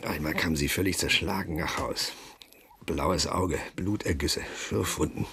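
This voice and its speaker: An actor playing a middle-aged former lawyer turned novelist in a German radio drama, telling his story in a wry, halting, self-deprecating way with a husky, gravelly voice. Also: low-pitched, a crowd in the background. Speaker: narrator and protagonist.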